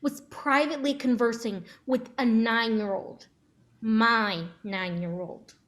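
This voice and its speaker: A woman's voice: on the high side, steady tone, slow, a bit bright. A woman playing an angry, protective mother in a dramatic script reading, clipped and confrontational. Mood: indignant. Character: angry protective mother of a nine-year-old girl.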